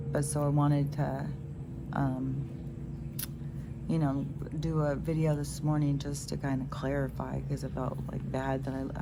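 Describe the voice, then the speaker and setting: A middle-aged woman with a stopped-up nose talks in a low, gravelly voice, drifting from thought to thought with fillers and restarts. She is recorded outdoors on a phone. The recording carries a clear hiss of outdoor noise behind her. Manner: meandering, many fillers and restarts. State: stuffed-up nose. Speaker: spiritual vlogger. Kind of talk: rambling casual monologue.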